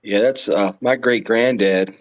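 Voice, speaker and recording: A man's voice: lowish voice, fairly even intonation, unhurried. A man chatting casually and agreeably on a livestream, in an informal way. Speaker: livestream co-host or guest. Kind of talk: casual chat. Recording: dull lo-fi call audio.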